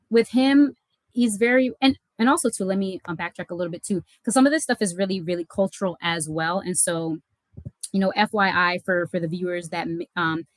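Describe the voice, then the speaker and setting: A woman's voice: on the low side, no room sound. A young adult woman from the United States, a life coach and channel host, talking casually and reflectively to camera with many fillers and self-corrections. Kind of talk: reflective personal talk to camera.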